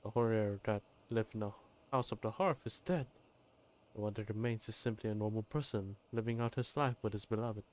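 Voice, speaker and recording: A man's voice: on the low side, fairly quick, slight background noise. A man streaming a game, giving casual commentary on the story as he follows it.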